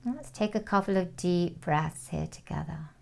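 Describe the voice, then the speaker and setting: A woman leading a guided meditation in a calm, soothing, unhurried manner, with soft phrase endings and long pauses. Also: fairly low pitch, gravelly. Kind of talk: guided meditation, gentle instruction.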